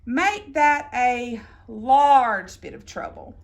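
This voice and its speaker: A woman reading a children's picture book aloud in an expressive, theatrical way, drawing out words for emphasis. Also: on the high side. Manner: theatrical, deliberate phrasing with drawn-out emphasis. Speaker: storybook reader.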